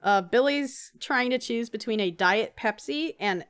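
A woman's voice: on the high side, animated, measured pace, fairly bright tone, clear, resonant tone. A woman co-hosting a podcast in casual conversation, recapping a comic with teasing remarks and read-out dialogue. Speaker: podcast host.

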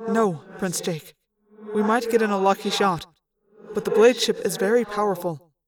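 A woman voicing an earnest young male alien pilot with formal, measured speech, in an audiobook story. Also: medium pitch, distant mic, music in the background, natural voice, rough recording. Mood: grave, measured.

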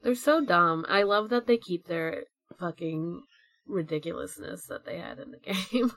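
A woman's voice: lowish voice, expressive, a little slow, fairly bright tone, no room sound, studio quality. A woman co-hosting a podcast in an informal, jokey, sweary casual chat. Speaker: podcast co-host.

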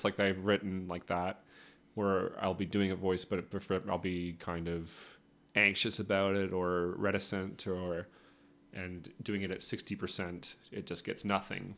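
A comedian being interviewed in a casual podcast chat, rambling and hedging with many restarts. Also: male voice, deep voice, creaky, fairly bright tone. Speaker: comedian guest.

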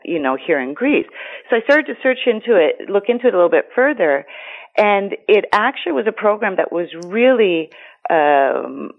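Greek woman on a tinny phone line. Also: fairly low pitch. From United States. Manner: rambling, frequent fillers and restarts. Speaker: guest. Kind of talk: casual interview talk.

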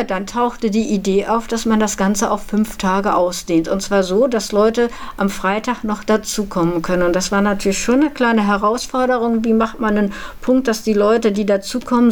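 A woman telling of her experiences as an organiser in a spontaneous interview. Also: mid-range voice. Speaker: event initiator being interviewed.

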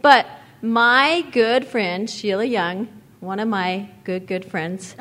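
A woman's voice: mid-range voice, slow pace. A woman presiding over an award presentation, talking in an effusive, repetitive way at a podium microphone in a meeting hall. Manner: warm, effusive, repeats words.

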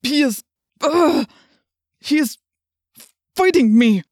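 A woman's voice: fairly low pitch, normal speed, no echo, lightly acted. A cruel alien tyrant inhabiting a man's body , acted out by a woman. The delivery is menacing and commanding, with threats pushed through gritted teeth, as in a dramatized storybook. The sound is dry and close. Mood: strained, struggling. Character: cruel alien slug controlling a male assistant principal, menacing.

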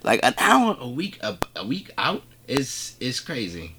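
Bright-voiced man telling rambling stories. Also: mid-range voice, wildly swooping pitch, measured pace, slight background noise. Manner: rambling, acts out conversations. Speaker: podcast co-host and comedian. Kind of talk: casual comic storytelling.

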